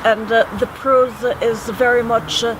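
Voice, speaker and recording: A man from Trinidad talks poetry in a high, evenly pitched voice, weighing each thought with measured care. He is recorded outdoors by a city waterfront. Traffic hums clearly behind him. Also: level tone. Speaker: poet. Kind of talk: reflective conversation.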